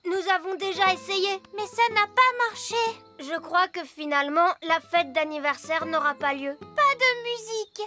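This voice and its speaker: Very high, childlike fairy princess. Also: female voice, animated, normal speed, over music. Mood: earnest. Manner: childlike, earnest. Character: sweet, earnest little fairy princess girl. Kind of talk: animated cartoon dub.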